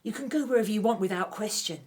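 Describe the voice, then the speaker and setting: A woman playing a ruthless, scheming royal wife of ancient Egypt in an audio drama, speaking in an imperious, deliberate, menacing way. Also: mid-range voice, a little mellow, natural voice. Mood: scheming, commanding.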